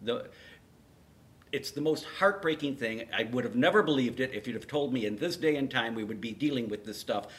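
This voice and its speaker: A man with an average-pitched voice co-hosts an opinion call-in show. His talk is casual and blunt, with firm views plainly stated. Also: a little fast. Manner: blunt, agreeing firmly. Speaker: talk show co-host taking calls.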